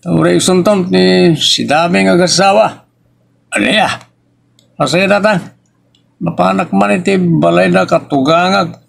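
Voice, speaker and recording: A man's voice: sits high, a little slow, gravelly, long pauses, heavily acted. A man with an Ilocos accent playing an aggrieved married son who questions his parents insistently in a drama. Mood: troubled. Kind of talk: Ilocano audio drama acting.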